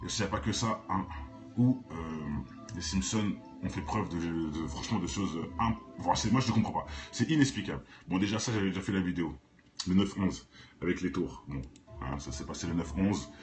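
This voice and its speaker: A man's voice: low-pitched, fairly quick. A man giving casual, improvised commentary in informal, slangy speech, addressing viewers directly and repeating phrases insistently for emphasis. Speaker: online video commentator. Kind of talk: casual improvised commentary on cartoons and conspiracy theories. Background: music.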